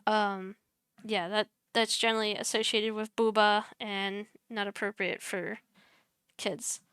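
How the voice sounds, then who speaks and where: A young woman streamer, soft-spoken and chatty, walking viewers through her streaming setup on a headset mic while muttering as she clicks around. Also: medium pitch, little pitch movement, measured pace, clean tone, no room sound, studio quality. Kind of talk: casual screen-share tutorial.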